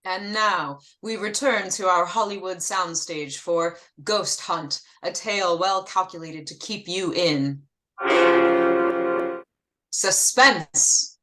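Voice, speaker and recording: Loud music swells behind a grave vintage radio announcer played by a woman. The delivery is slow, scripted and suspenseful, in a slightly low voice. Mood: grave, dramatic.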